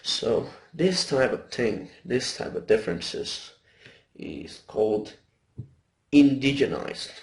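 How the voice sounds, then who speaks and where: A man's voice: mid-range voice, very animated, takes it slowly, darkish tone. A young man with an Indonesian accent gives an informal class presentation, explaining loosely with self-corrections. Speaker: student presenter.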